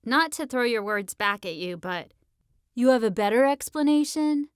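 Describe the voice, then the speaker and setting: A woman playing a sharp, argumentative sixteen-year-old girl in an audiobook, speaking in a slangy, emphatic, insistent way. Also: fairly high pitch, expressive, moderate pace, smooth, no room sound, studio quality, lightly acted. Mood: questioning.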